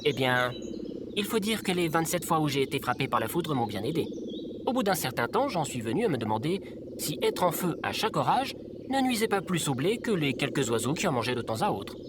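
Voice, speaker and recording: A man acts out a robot scarecrow from an audio drama, earnest and formal yet very cheerful. The intonation swings widely, and loud outdoor insect and animal noise surrounds the voice. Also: a little high, big pitch swings, a little fast. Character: cheerful tin-man robot scarecrow, courteous and proudly contented. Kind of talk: audio fiction acting.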